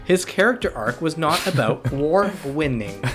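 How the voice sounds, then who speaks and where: Dude ranting like a mad fan, voice jumping around a lot, music faint behind. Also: male voice, a little high, sing-song, normal speed, a bit bright. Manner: ranting, indignant, exaggerated outrage. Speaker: comedy reader. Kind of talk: dramatic comic reading.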